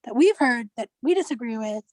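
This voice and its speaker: A woman with a distinctly high voice speaks informally in a group discussion. Her delivery is conversational and casual, and the sound is dry with no room echo. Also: animated, fairly quick, a little mellow.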